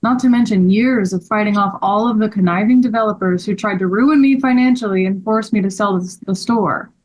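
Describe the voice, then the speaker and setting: A woman's voice: mid-range voice, brisk, a little mellow, natural voice. A woman reading the part of a distraught, bitter store owner with dramatic delivery at a table read. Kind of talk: table read of a scripted story.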